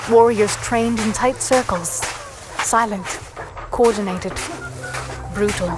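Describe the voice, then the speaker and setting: A woman's voice: on the high side, natural voice. A woman playing an earnest human heroine, narrating her story in the first person with dramatic intensity for a fantasy audio drama. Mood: solemn, descriptive.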